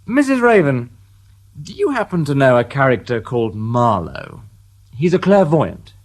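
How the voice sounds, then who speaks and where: A man's voice: medium pitch, very animated, normal speed. A dry-witted detective inspector in a radio play. He speaks with understated wryness, his intonation rising and falling a good deal. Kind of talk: radio drama acting.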